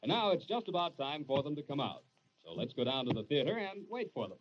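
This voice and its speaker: A man's voice: a little high, sing-song, fast. A man announcing in 1940s radio style, narrating to set up a comedy scene. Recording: muffled, noisy 1940s radio recording.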